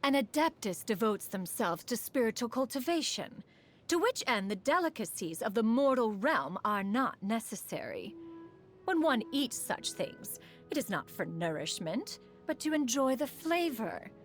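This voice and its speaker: A woman's voice: a little high, big pitch swings, unhurried, soft background music. A woman voicing a proud, refined immortal sage and inventor in a video game, with formal, archaic, poised diction. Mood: composed, reflective. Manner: formal, stately, archaic diction, refers to herself as "one". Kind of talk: scripted game character voice lines.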